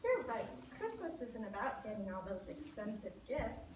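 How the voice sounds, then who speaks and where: A woman's voice: mid-range voice, sing-song, normal speed, lightly acted. A woman playing a vain, boastful rich lady in a church puppet show. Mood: earnest. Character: vain, boastful rich woman who loves expensive gifts. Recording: echoey hall, far mic, noisy.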